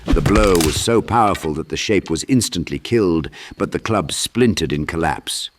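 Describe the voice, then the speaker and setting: A man's voice: low voice, expressive, normal speed, dry recording. A man reading dramatic scripted horror narration as an audiobook narrator.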